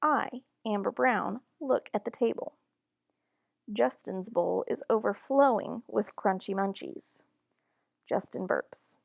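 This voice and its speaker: A woman reading a children's picture book aloud in a deliberate, expressive storytelling manner. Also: mid-range voice, gravelly, dry recording, studio quality. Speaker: storybook reader.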